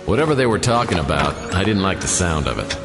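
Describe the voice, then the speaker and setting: A world-weary private eye in a radio play, very low in pitch. He narrates his misfortunes with dry, self-mocking wit. Long reverb and clear music surround the voice. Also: sing-song, fast. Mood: wry narration. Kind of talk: noir scripted narration, radio play acting.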